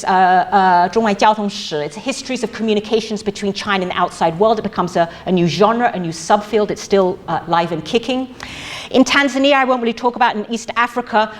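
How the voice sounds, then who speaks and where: A middle-aged woman gives an academic lecture in an auditorium, her voice at an ordinary middle pitch. She talks haltingly, full of fillers and restarts as she works through her points. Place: museum auditorium.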